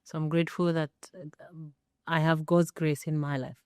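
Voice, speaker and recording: A woman's voice: low-pitched, little pitch movement, normal speed, a little mellow, smooth, dry recording, clean studio sound. A middle-aged woman as a podcast guest, talking casually and reflectively, supportive and explaining things with simple examples.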